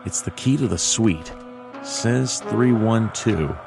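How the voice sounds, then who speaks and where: A world-weary cartoon detective narrating a noir adventure game. His voice is low and deadpan, laconic and dry, over clearly audible music. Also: slow pace, heavily acted. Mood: dry, observant.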